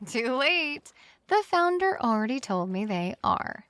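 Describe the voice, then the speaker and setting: An actress as a daring, playful young woman in an audio drama. Her voice is high and very lively, swinging with excitement. She teases freely and sounds bubbly and bright. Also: a little slow, dry recording, full character voice. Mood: teasing. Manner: teasing, excitable.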